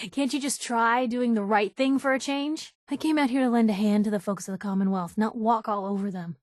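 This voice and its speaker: A woman's voice: on the high side, normal speed, dry recording, studio quality, close to an everyday voice. An actress voicing a plucky, principled young woman reporter, a video game companion with sassy, earnest, slangy banter. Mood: disappointed, scolding.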